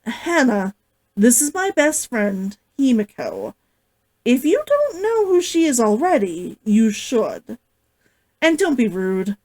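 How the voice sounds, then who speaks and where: A woman's voice: fairly high pitch, sing-song, takes it slowly, a little mellow, full character voice. A woman voicing a dutiful, practical monster hunter woman who speaks firmly and matter-of-factly in a story.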